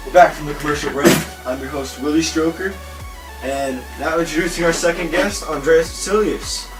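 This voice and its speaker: A man's voice: a little high, moderate pace, lightly acted. Man as a casual, offhand skit host, mid voice, roomy distant take with music. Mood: chatty. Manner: casual, offhand questions with small restarts. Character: chirpy male talk show host. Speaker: talk show host in a skit. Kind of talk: amateur talk-show skit.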